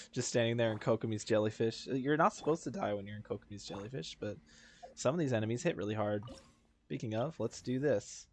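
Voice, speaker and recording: A man's voice: mid-range voice, a little fast, slight background noise. A man giving casual gameplay commentary, rambling and thinking aloud as he plays. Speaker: video game streamer.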